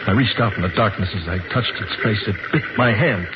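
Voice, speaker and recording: A man's voice: on the low side, brisk, heavy background noise, close to an everyday voice. An actor playing a frightened, desperate man trapped in darkness, narrating his ordeal with grave intensity in 1950s radio drama style. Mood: grim, subdued. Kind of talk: 1950s radio drama acting, suspenseful first-person narration. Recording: dull vintage 1950s radio recording, loud music.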